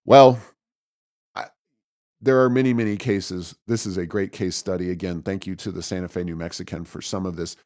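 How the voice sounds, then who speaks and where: A man with a fairly low voice talks through political news as a podcast co-host. He is openly critical and a little halting, correcting himself as he goes. The sound is dry and close, with very little room. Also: steady tone, darkish tone, dry recording. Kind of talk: conversational political news commentary.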